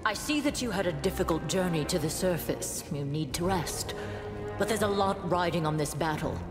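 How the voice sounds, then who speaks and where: A woman's voice: lowish voice, lively intonation, moderate pace, natural voice. A woman playing a stern, resolute military commander in a fantasy game, with a formal, grave and commanding manner. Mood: grave, urgent. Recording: echoey reverberant hall, game music behind.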